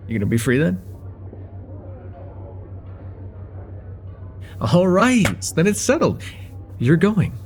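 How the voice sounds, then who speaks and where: A man playing a friendly young college guy, lively pitch swings. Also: gravelly, a little hiss or hum. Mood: coaxing, teasing. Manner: casual, hesitant, teasing, with soft chuckles and hums. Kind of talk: slice-of-life ASMR roleplay, one-sided conversation with the listener.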